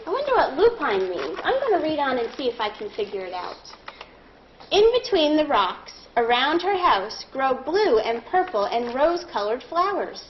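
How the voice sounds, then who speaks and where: A woman leading a read-aloud lesson as a primary teacher, deliberate and instructive, thinking out loud. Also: sits high. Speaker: primary school teacher reading aloud to a class. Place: classroom. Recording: muffled classroom recording with clear background noise.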